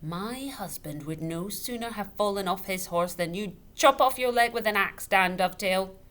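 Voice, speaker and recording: A woman's voice: mid-range voice, normal speed, a bit bright, close to an everyday voice. A woman voicing an angry, grieving widow in a children's story, fierce and indignant. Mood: cold fury. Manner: cold, fierce, rising to screeching. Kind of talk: children's audiobook character voice. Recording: noticeable background noise.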